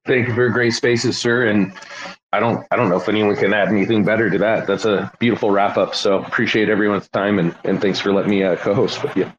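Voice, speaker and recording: Low-voiced co-host on a dull line. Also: male voice, fairly even intonation, brisk. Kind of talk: casual chat.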